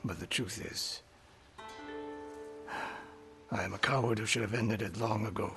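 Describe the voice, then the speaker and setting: An actor playing a proud, bitter older samurai archery master in a video game, speaking in a stern, formal, clipped way, with music behind him. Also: male voice, fairly low pitch, steady tone, a little slow. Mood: regretful, subdued. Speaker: archery sensei. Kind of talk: dramatic video game voice acting.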